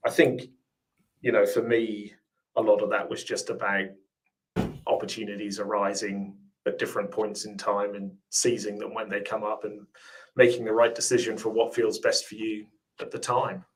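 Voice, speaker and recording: A man's voice: fairly low pitch, little pitch movement, normal speed, low-quality recording. Hesitant man with mixed English-Australian accent. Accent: English-Australian mix. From England. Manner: reflective, hesitant with many ums and restarts. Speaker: podcast guest, finance officer. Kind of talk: casual interview chat.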